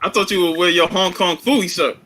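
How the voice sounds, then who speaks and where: A man's voice: sits high, fairly even intonation, a little fast, bright, forceful tone. A man hosting a casual online group chat. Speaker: host. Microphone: online group call.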